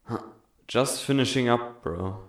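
A man playing a gruff, crude, easily swayed henchman, blunt and slow-witted, in an audio drama. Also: mid-range voice, close to an everyday voice. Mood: grumbling. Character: gruff, crude male henchman, dim and suggestible.

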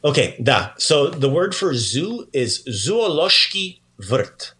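A man's voice: on the high side, very animated, slow, pushed and bright. A man hosting a podcast language lesson in a casual, playful and encouraging way. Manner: encouraging, praising the learner often.

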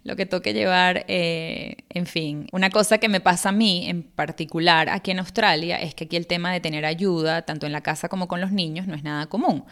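A woman's voice: lowish voice, steady tone, brisk, few pauses, fairly bright tone. A woman in her thirties from Venezuela, with a Venezuelan accent, hosting a casual solo podcast and chatting through her thoughts with small restarts. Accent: Venezuelan Spanish. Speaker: podcast host.

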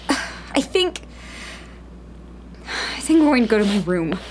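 A woman's voice: fairly high pitch, very animated, slow pace, a little hiss or hum. A woman playing a headstrong, skeptical young rebel in a sci-fi radio drama, speaking with insistent emotion. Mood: hurt, shaken.

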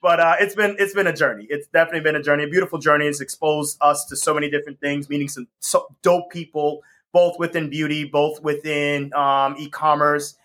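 A man's voice: on the high side, fairly even intonation, brisk, hardly any pauses, fairly bright tone. A man from the US Northeast, a company co-founder, chatting enthusiastically as an interview guest with frequent laughs and ums. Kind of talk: casual interview chat.

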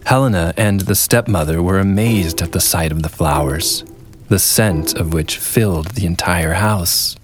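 Low male voice, storyteller, scripted fairy-tale narration, music and rain behind. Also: unhurried.

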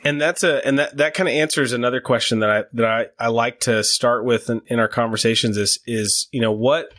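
A man's voice: medium pitch, steady tone, fairly bright tone, no echo. Podcast host guy, mid voice, dry mic, keeps restarting his sentences. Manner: halting, restarts often. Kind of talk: podcast interview.

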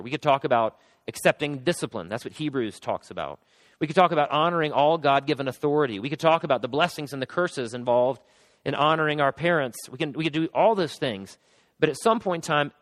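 A pastor preaching a sermon, speaking earnestly with frequent restarts, his voice going hoarse. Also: mid-range voice, in a large hall.

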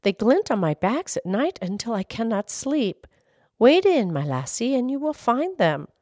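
A woman's voice: a little high, sing-song, a little slow, a little mellow, no echo, studio quality, voice pushed up. A woman voicing a chatty little brook in a folk tale, babbling and pleased with itself. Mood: inviting. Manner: babbling, murmuring.

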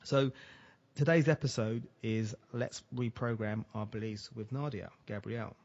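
A man's voice: fairly low pitch, fairly even intonation, normal speed, darkish tone. Enthusiastic male podcast host, muffled sound. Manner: friendly, stumbling restarts, enthusiastic. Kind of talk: podcast interview.

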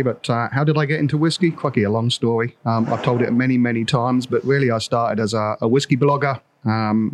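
Man, podcast co-host, casual chat, easygoing, hesitant. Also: mid-range voice. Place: warehouse with a bottling line.

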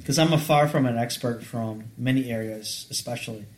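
A man's voice: mid-range voice, brisk, slight background noise, poor audio. A man in his late thirties, a podcast guest, explaining his ideas earnestly and often checking in with "right?" and "okay". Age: 30s. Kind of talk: casual chat.

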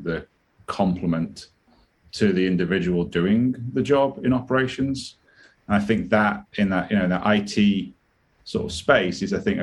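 Low-voiced British man explaining. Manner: explanatory, thinks aloud with restarts. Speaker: guest, product director. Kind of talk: conversational interview.

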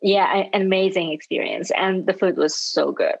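A woman from Hong Kong, a guest educator, speaking in a measured, thoughtful way in an interview. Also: fairly low pitch, vocal fry, slight background noise. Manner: measured, thoughtful, light laughs. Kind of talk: interview conversation. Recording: dull muffled sound, dry.

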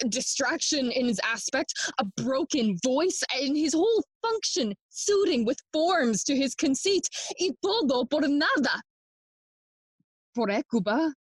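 A grieving young prince in a classical audio drama, bitter and theatrical. The voice is high and slow, recorded close and dry, moving deliberately through bilingual verse. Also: female voice, no echo. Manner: theatrical, deliberate, switching between English and Spanish.

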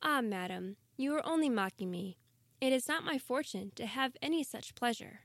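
Man doing shy sweet cinderella, way up high and quiet, dry close sound. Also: animated, moderate pace, dry recording, heavily acted. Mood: meek. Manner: timid and submissive. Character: meek, gentle young girl, Cinderella.

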